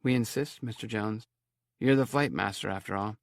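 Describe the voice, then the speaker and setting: A man's voice: on the low side, moderate pace, gravelly, no room sound. A man voicing a polite, reserved young mother in an audiobook story. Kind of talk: audiobook character voice.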